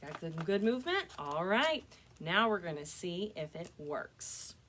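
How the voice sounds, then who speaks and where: A woman leads a hands-on activity for children in a friendly, instructional way. Her pitch moves around in an animated manner, and her voice has a husky edge. She addresses her young audience directly, as a children's librarian would. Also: unhurried.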